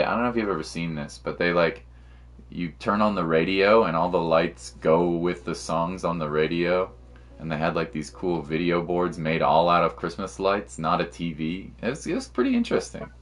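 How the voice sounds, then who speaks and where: A man chatting casually and commentating as a game streamer, with a laid-back, slangy way of talking. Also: deep voice. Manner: laid-back slangy talk with mild swearing. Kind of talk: casual livestream chat and gameplay commentary.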